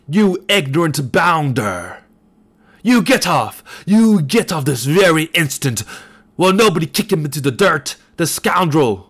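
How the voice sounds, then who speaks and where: A man reading a storybook, voicing an enraged shabby man who bellows curses in a high, trembling voice. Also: fairly bright tone. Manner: bellowing and cursing.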